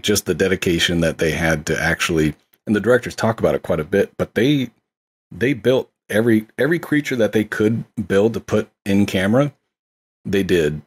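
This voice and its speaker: A man's voice: lowish voice, slightly rough. A man co-hosting a podcast, talking casually and enthusiastically about a film, with lots of fillers. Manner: enthusiastic, rambling with many fillers. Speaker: podcast co-host. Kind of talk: casual movie discussion.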